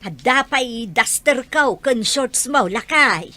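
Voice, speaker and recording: A woman's voice: a little high, lively intonation, takes it slowly, bright, forceful tone, heavily acted. A woman with an Ilocano accent acting a caring, reflective elderly grandmother in an audio drama. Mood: fond teasing.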